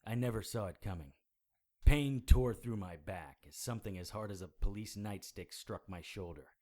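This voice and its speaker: Low, sardonic actor as a hard-boiled detective. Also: male voice, moderate pace, a little mellow, natural voice. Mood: wry narration. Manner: sarcastic, world-weary, blunt. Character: hard-boiled sardonic male occult investigator, narrating his case. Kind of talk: noir audio drama acting with narration.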